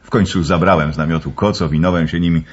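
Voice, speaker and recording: An actor playing an earnest, brooding young gentleman in a radio drama, telling things in a measured, ominous way. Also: low-pitched, steady tone, muffled recording.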